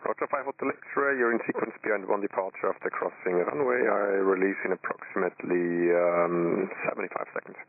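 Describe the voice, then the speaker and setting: A man's voice: sits low, fairly even intonation, fairly quick, few pauses. A man working as a tower controller, giving clipped instructions over aviation radio. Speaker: air traffic tower controller. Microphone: air traffic radio transmission. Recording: narrowband airband radio with faint static.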